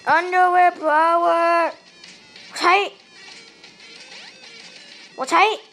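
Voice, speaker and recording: A high-voiced woman with a Malaysian accent, talking at an unhurried pace between bursts of excited yelps at a game she is playing. She records straight into a tablet held up to her face, with faint game music playing behind her. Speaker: gaming channel host. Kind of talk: let's play commentary. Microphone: tablet microphone held to face.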